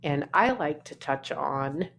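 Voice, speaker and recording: A woman's voice: expressive, vocal fry, a bit bright, slight background noise. A woman, musing unhurriedly in a casual vlog from her apartment. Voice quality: naturally deep voice. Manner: unhurried, musing, trailing off between phrases. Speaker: artist vlogger. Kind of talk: casual talking-to-camera vlog.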